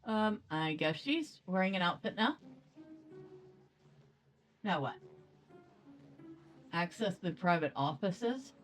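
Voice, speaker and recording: Female let's play host, casual, very varied intonation, slightly low register, soft game music underneath. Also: lively intonation, slow, pauses often, rough recording. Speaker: video game streamer. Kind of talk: casual let's play commentary, reading quest text aloud.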